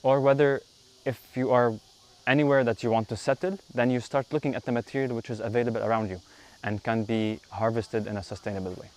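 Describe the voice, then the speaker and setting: A man in his thirties from Lebanon, a yoga teacher, answering interview questions outdoors on a lapel mic in an unhurried, thoughtful way with frequent fillers. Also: medium pitch, fairly even intonation, a little mellow. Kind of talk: casual interview. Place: outdoors in a bamboo grove.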